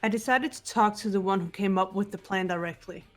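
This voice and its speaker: A woman's voice: mid-range voice, brisk, soft background music, natural voice. A woman playing a composed, reserved teenage girl with a bitter edge in a video game readthrough. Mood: coolly bitter. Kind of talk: amateur game voice acting.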